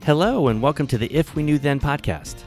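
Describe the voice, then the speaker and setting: A man's voice: a little high, expressive, fairly quick, over loud music. A man co-hosting a podcast, welcoming a guest in a friendly, eager way. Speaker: podcast co-host.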